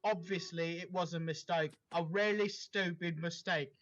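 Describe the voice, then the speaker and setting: A man's voice: fairly high pitch, fairly even intonation, normal speed, fairly bright tone. A man reading a story aloud to friends in a casual way, over a dull lo-fi voice chat. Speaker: story reader.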